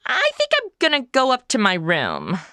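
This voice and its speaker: A woman's voice: a little high, wildly swooping pitch, moderate pace, sharp tone, smooth, no room sound, heavily acted. Woman doing a sassy boy-crazy gal, voice jumps all over the place, dry close sound. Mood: swooning. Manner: sassy, swooning, wisecracking. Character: boy-crazy modern young woman in the Old West. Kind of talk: comedy audio drama.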